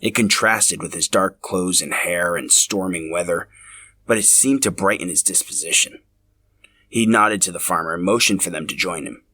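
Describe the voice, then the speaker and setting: A man reading scripted story narration in an expressive, dramatic storyteller's manner. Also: sits low, normal speed, husky. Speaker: podcast host telling a story.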